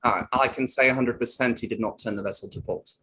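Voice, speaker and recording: A plain-spoken riverboat crewman played by a man in a play reading gives evidence in a firm, matter-of-fact way. He sits at an average male pitch and answers insistently, a little on the defensive. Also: fairly even intonation, quick pace, pushed and bright, husky. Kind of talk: table read of an inquiry play.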